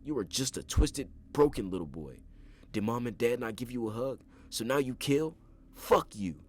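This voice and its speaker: A man's voice: mid-range voice, lively intonation, normal speed, darkish tone, pitched above the performer's own voice, natural voice. A man voicing a desperate young man who screams and swears at an attacker. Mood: screaming. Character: desperate young man, brash, furious older brother. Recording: clear background noise.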